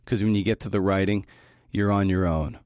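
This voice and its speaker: Low-voiced man giving a conversational talk. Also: fairly even intonation, fairly quick, darkish tone, slight background noise. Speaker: podcast host giving a talk. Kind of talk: prepared talk with conversational asides.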